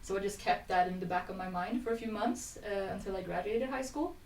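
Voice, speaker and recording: A woman's voice: mid-range voice, fairly quick, darkish tone. Young woman in an echoey room. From Sweden. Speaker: podcast guest, youth volunteer. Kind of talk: casual conversational storytelling. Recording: echoey room, distant mic, faint noise.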